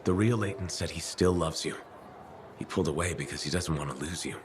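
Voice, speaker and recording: An actor playing a calm, reassuring male hero in video game dialogue. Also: very deep voice, brisk, a little hiss or hum. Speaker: player character.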